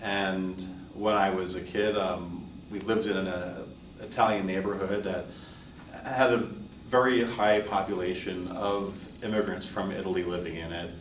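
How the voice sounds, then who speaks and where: A middle-aged American man from New York and Hawaii, speaking as a college instructor in an informal, measured and earnest welcome lecture. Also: low-pitched, steady tone. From United States, New York City and Hawaii. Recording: roomy echo, distant mic, faint noise.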